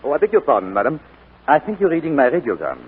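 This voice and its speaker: Suave, animated French playboy, old radio. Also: male voice, on the high side, fast, music in the background. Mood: polite, insistent. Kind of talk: 1940s radio romance acting. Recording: crackly, narrow-band 1940s radio broadcast.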